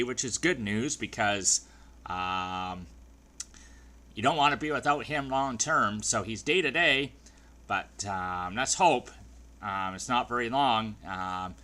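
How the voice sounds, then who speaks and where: A man recapping hockey games in a casual, rambling vlog, with a dry throat that he keeps clearing. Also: medium pitch, pushed and bright. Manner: chatty, rambling with many ums and restarts. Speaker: fan video host.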